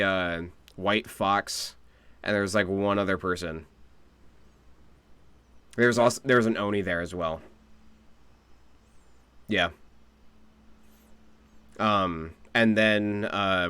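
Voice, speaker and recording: Low, clear-voiced male game streamer. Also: fairly bright tone, no echo. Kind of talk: casual live reaction commentary.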